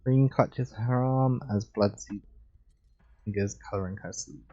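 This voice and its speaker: A man livestreaming a game and reading visual novel narration aloud in a relaxed, chilled manner. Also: lowish voice, measured pace, lo-fi sound. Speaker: game streamer.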